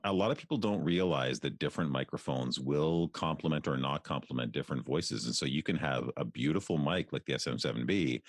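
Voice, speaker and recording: A male voice actor chatting in an interview, relaxed and talkative, often restarting his sentences. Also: rumbling low, dry recording, studio quality. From outside the United States. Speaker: guest voice actor. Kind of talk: casual interview chat.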